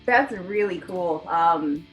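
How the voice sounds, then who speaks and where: Young woman chatting up a band, says awesome a lot, slightly low voice. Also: animated, slow, lo-fi sound, faint music behind. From United States. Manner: upbeat, chatty, lots of "like" and "awesome". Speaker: interviewer, rock news correspondent. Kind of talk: casual interview over a video call.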